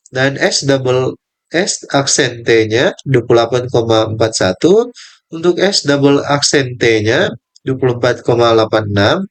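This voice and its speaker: A young male student presenting his part of a group lesson, reading out steps and table values with small slips and restarts. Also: medium pitch. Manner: reads numbers in steady lists, with small slips and restarts.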